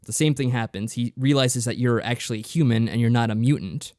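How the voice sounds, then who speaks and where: A man talks through a review in a casual, explanatory way, as a podcast co-host would. His voice is on the lower side for a man, and the recording is dry and close. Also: a little fast, darkish tone, no echo.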